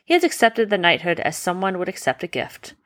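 A woman voicing a gentle, patient uncle who explains things in a bedtime story. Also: fairly low pitch, big pitch swings, a little fast. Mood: quietly accepting. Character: gentle, patient uncle, a humble carriage driver.

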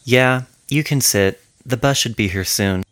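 A man voicing a withdrawn, sad young boy who answers quietly. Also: mid-range voice, unhurried, no room sound, pitched above the performer's own voice, lightly acted. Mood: subdued. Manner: quiet, subdued replies.

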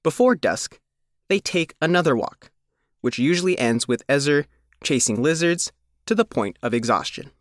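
A man reading a scripted news feature as its reporter, in measured, deliberate short phrases. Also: on the high side, animated, dry recording, clean studio sound. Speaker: reporter reading his own written feature.

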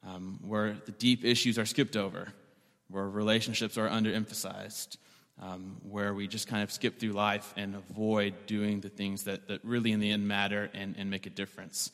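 A man's voice: on the low side, a bit bright, long reverb tail. A man preaching a sermon as the pastor, conversational and joking, often repeating words as he restarts a thought.

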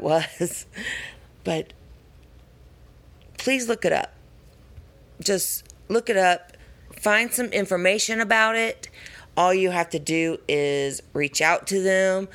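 A woman with a raspy voice talking earnestly and encouragingly to her viewers in a casual vlog. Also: fairly low pitch, fairly bright tone. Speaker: pageant contestant. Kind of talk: casual vlog talk to camera.

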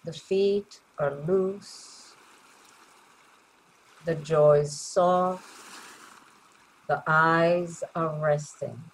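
A woman leading a guided meditation in a gentle, soothing way, leaving long pauses between short phrases. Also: low voice, steady tone, slight background noise, rough recording.

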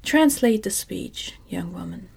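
A woman softly whispering the lines of a pompous male scarecrow king in a storybook reading. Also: on the low side, expressive, unhurried, gravelly, husky, exaggerated voice. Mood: fussy.

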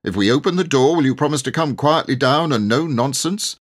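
A man acting a gruff, bossy rustic English gamekeeper. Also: medium pitch, lively intonation, fairly quick, pitched above the performer's own voice, lightly acted. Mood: challenging. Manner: blunt, challenging, dialect grammar. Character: gruff rustic gamekeeper, bold, suspicious, armed.

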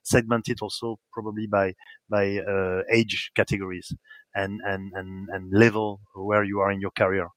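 A man's voice: fairly low pitch, level tone, moderate pace, a bit bright, dry recording. A man with a French accent, answering questions as a guest in a podcast interview, hesitant with many restarts. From France. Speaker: guest, software company executive.

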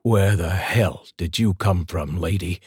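A man playing a menacing, greedy thug who sneers and insults, with slow threats. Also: deep voice, a little mellow, slightly rough, no echo, studio quality, exaggerated voice. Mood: contemptuous.

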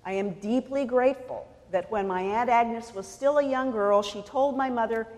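A woman from California preaching a sermon in a measured, deliberate way, pausing for emphasis. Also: fairly high pitch, a little mellow, long reverb tail. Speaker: pastor.

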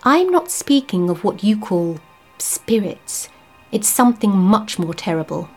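Woman reading a grieving widower, uneasy, earnest, husky, faint music behind. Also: medium pitch, very animated, measured pace, full character voice. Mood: troubled. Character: troubled grieving widower, man, middle-aged, uneasy.

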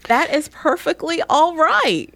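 A woman co-hosting a casual faith-based chat, animated and candid, laughing easily. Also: sits high, a little slow, no room sound. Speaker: podcast co-host.

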